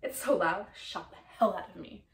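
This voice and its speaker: A woman performing a comedic stage monologue as a frantic, indignant woman, telling her story in an animated, emotional way. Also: mid-range voice, brisk, gravelly, roomy sound, far from the mic, natural voice. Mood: indignant, furious. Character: frantic, indignant woman recounting a furious outburst.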